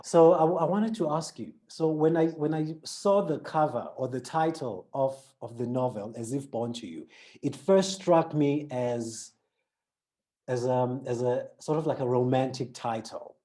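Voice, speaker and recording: South african guy interviewing a novelist, warm low-key voice, lots of restarts. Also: unhurried. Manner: reads intro, then asks questions with restarts. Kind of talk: book interview.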